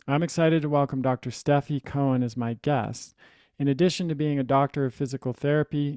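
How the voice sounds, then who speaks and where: A male podcast host with a mellow, warm tone and a measured delivery. His voice is dry and close, with no room sound. He moves from a read introduction to relaxed interview questions. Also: mid-range voice, no echo.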